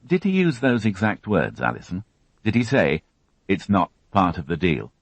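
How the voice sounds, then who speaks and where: Man reading a calm police detective, steady questions, lively pitch, dry sound. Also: moderate pace, no echo, exaggerated voice. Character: patient, gentle male police detective.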